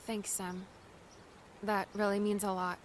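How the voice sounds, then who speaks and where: A woman's voice: mid-range voice, little pitch movement, a little slow, a little hiss or hum, natural voice. A woman playing a wistful, curious young female newcomer in an audiobook. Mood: grateful. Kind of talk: audiobook character dialogue.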